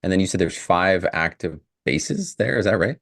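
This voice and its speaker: A man's voice: sits low, expressive, normal speed, creaky. A man from Canada hosting a podcast, upbeat and effusive in casual interview chat. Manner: upbeat, effusive, laughs easily. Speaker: podcast host.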